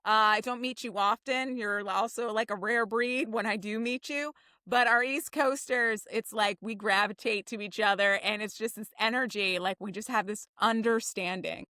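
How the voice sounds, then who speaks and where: A woman's voice: medium pitch, steady tone, normal speed, pushed and bright, no room sound, studio quality. An American woman from New Jersey chatting casually as a podcast guest, effusive and rambling, sprinkling in "like". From New Jersey, United States. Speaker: podcast guest, theater writer and director.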